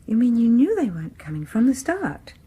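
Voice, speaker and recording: A woman's voice: on the high side, very animated, brisk, warm tone, breathy edge, exaggerated voice. An actress playing a shy, proper, questioning woman in a radio horror drama, speaking politely and tentatively with a British accent. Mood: questioning, reproachful.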